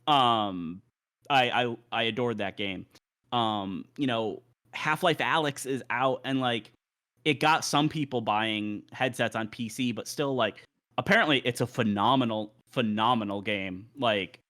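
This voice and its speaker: A man's voice: mid-range voice, a little slow, pushed and bright. A man giving casual, opinionated takes on games in an emphatic way as a show panelist. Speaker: panelist on a gaming talk show.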